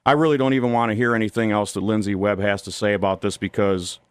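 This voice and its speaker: Smooth, lowish-voiced American man. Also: steady tone, fast talker, no room sound. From Toledo, Ohio, United States. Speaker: studio interview guest, YouTube commentator. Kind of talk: opinionated political radio interview. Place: radio studio.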